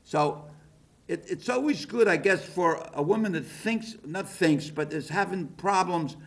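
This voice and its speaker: A man's voice: high voice, expressive, measured pace, creaky. An older man hosting a talk show, chatty and folksy, often cutting in. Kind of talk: casual interview chat.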